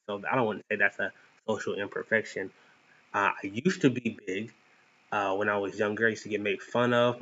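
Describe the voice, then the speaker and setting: A man's voice: on the low side, sharp tone. A young man with a lisp giving a playful, earnest motivational talk to viewers as a vlog host, with cocky bursts of emphasis. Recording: dull lo-fi sound.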